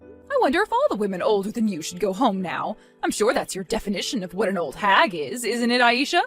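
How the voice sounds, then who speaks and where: A woman's voice: medium pitch, very animated, fairly quick, faint music behind, natural voice. A woman playing a stern, fed-up mother nearing retirement, scolding reproachfully in a scripted family drama. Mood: pointed, sarcastic. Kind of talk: scripted melodramatic story dialogue.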